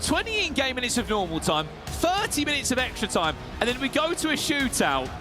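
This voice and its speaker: A man casting an esports penalty shootout, excitable and shouting, his voice going hoarse. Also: very high voice, a little fast, over music. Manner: excitable, shouting at big moments. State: losing his voice. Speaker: esports caster. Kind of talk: play-by-play commentary.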